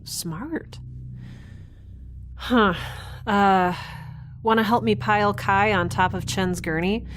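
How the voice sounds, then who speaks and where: A woman's voice: medium pitch, fairly even intonation, slow pace, pauses often, fairly bright tone, a little hiss or hum. Casting: woman to act young female fugitive, sci-fi radio drama. Defiant, talkative, dry sarcasm, natural mid-range voice. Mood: relieved, brisk. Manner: chatty, sarcastic, rambling asides. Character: defiant, wry young female rebel specimen on the run. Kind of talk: science fiction audio drama acting.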